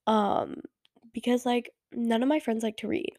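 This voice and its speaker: A woman's voice: a little high, fairly even intonation, unhurried, darkish tone, dry recording, clean studio sound. A teenage girl hosting a casual solo podcast, rambling with many fillers, her voice stuffy from a cold. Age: teens. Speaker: podcast host.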